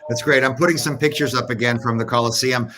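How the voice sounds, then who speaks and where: A man's voice: medium pitch, fairly even intonation, fast, sharp tone. A man hosting a live interview show, asking questions in an upbeat, encouraging way. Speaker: host.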